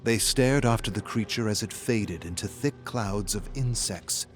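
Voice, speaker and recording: A man's voice: fairly low pitch, unhurried, faint music behind. A man reading scripted horror fiction as a narrator, in a dramatic, suspenseful style.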